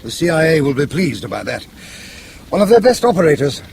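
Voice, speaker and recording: An actor playing a suave, ruthless British intelligence chief in a radio drama, urbane and dryly ironic. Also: male voice, on the high side, very animated, quick pace, creaky, noisy background. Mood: smug, urbane.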